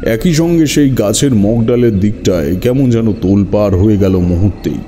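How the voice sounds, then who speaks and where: A man's voice: lowish voice, normal speed, mellow, faint music behind. A man narrating a horror story dramatically in the first person.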